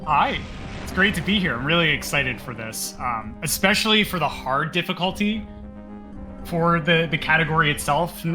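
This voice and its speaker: A man's voice: on the high side, lively intonation, normal speed, bright, forceful tone. A man giving upbeat, casual co-commentary that explains a video game speedrun. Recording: game music behind the voice.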